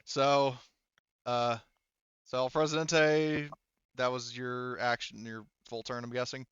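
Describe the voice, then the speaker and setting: A man's voice: medium pitch, flat delivery, slow pace, a bit bright, smooth, no room sound, highs cut off. A man acting as game master in a tabletop roleplaying session, calling rules and narrating action off the cuff in casual, sweary language. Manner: casual, profane.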